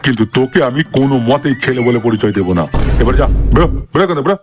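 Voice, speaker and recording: Man as a fast-talking exasperated cartoon brother-in-law. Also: mid-range voice, expressive, over music, lightly acted. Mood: scolding. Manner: scolding, sarcastic, crude. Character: exasperated, foul-mouthed middle-aged brother-in-law. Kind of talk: comic cartoon dialogue.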